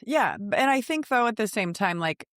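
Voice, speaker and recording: A woman's voice: medium pitch, sing-song, moderate pace, a bit bright, no room sound, studio quality. A middle-aged woman co-hosting a podcast, chatting in a casual, reflective, conversational way. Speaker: podcast co-host.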